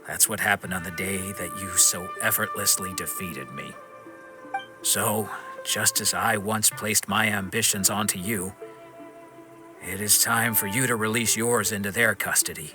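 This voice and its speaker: A man playing a stern but forgiving wandering swordsman in a video game, speaking in a measured, firm and frank way. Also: fairly low pitch, fairly even intonation, music in the background, lightly acted. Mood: solemn encouragement. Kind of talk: dramatic video game acting.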